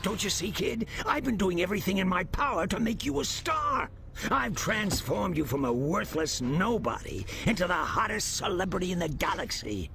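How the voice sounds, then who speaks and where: High swooping voice, greedy alien tycoon, gloating showman, video game villain, music behind. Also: sing-song, normal speed, vocal fry, full character voice. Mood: oily flattery. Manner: theatrical showman, gloating and wheedling. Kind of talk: cartoonish video game voice acting.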